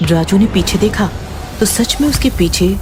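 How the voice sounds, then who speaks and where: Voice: medium pitch, expressive, moderate pace, background noise. A narrator reading a scripted horror story.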